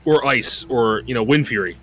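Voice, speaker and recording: A man's voice: mid-range voice, normal speed, fairly bright tone. A man chatting casually about games on a podcast. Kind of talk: casual gaming podcast chat.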